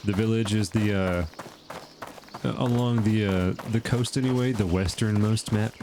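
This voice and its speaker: A man's voice: lowish voice, fairly even intonation, moderate pace, noisy background. A man hosting a casual gameplay video, chatting and thinking aloud with lots of fillers while his nose is stuffed up from allergies. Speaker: gaming channel host.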